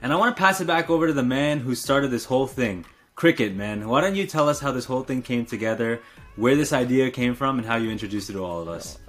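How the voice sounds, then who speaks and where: A man's voice: mid-range voice, lively intonation, fast talker, fairly bright tone, faint music behind. An upbeat, encouraging man in his twenties co-hosting a casual podcast chat. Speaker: podcast co-host.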